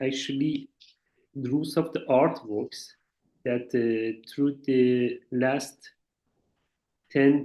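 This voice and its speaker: Halting man. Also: medium pitch. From Iran. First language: Farsi. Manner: halting, searching for words in a second language. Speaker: visiting artist. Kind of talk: informal talk over a video call. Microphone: video call. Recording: muffled video-call audio.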